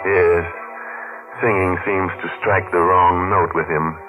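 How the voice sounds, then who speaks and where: A man playing a ghoulish, sardonic radio horror host with morbid humour and a mock-genial, drawn-out delivery in 1940s style. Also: sits low, level tone. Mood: gleefully macabre. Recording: muffled 1940s radio broadcast with music.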